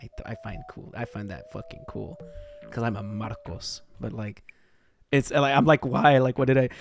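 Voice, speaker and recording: An American man livestreaming in casual chat, excitable and sweary, reacting and reading things aloud. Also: medium pitch. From Texas, United States. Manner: excitable, swearing, jumps from muttering to shrieks. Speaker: livestream host.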